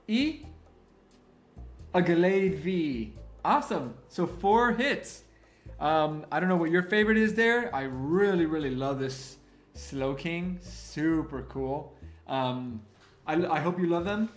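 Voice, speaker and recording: A man with a somewhat high voice hosts a live-stream giveaway, bubbling with excitement and calling out viewers by name. Spanish was his first language, and he grew up in Miami. The room is echoey, and faint music plays underneath. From Miami, Florida, US. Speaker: livestream host running a card giveaway. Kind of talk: live-stream chat, counting out picks.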